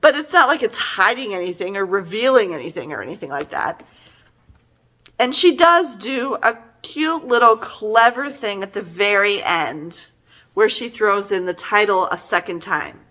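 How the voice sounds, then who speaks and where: A middle-aged woman with a very bright, forceful voice at an ordinary female pitch. She talks casually, as if reviewing for friends, with animated emphasis and an exasperated edge. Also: normal speed. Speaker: book reviewer. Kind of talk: casual conversational book review.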